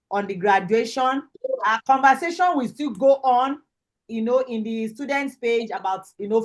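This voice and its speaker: A Nigerian woman with a fairly high voice hosts an online ceremony. She speaks informally, as an instructor addressing a group over a video call. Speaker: host and lead instructor of an online graduation. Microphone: video call microphone.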